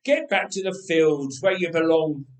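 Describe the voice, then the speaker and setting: A man acts out a bossy older brother in a storybook tale. He growls his scorn in a gruff, deliberate way, with the room echoing around him. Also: on the high side, animated, recorded from a distance. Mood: scornful. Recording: roomy, echoing room sound.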